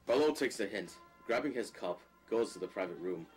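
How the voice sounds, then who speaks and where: A man's voice: on the low side, lively intonation, brisk, darkish tone, husky, soft background music. A man reading scripted stage directions as the narrator of a drama.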